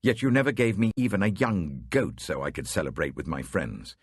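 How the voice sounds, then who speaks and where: A British man acts a resentful elder brother in a scripture story. The voice is husky and indignant, its pitch jumping with emphatic bitterness. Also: expressive, brisk, no room sound, clean studio sound. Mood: bitter, indignant.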